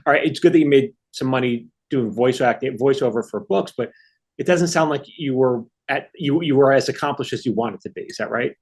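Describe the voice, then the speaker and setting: A man's voice: mid-range voice, brisk, husky. A man hosting a podcast, asking eager, enthusiastic questions and often restarting his sentences. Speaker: podcast host, interviewer. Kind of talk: casual interview chat.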